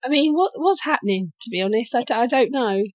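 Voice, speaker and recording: A woman's voice: a little high, animated, quick pace, dry recording. A woman judging a comedy game on a podcast, blunt and dry in her verdicts. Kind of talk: casual podcast banter.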